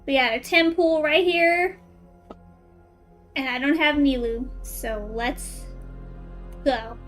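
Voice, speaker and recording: A woman's voice: sits high, slow, soft background music. A woman chatting casually on a game livestream, thinking aloud informally and putting questions to her viewers. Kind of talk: casual livestream chat with viewers while playing.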